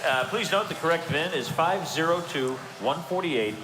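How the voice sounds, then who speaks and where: A man presenting auction lots, reading out the details in a brisk, polished way. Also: on the high side, expressive. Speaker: auction announcer. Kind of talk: lot presentation. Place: large auction hall. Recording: echoey hall with clear noise.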